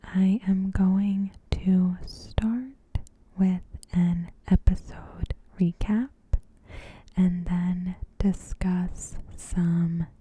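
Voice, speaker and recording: A woman softly speaking in a hushed, breathy ASMR style, reading a scripted recap with deliberate pauses. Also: lowish voice. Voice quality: soft, breathy, whispery. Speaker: ASMR host.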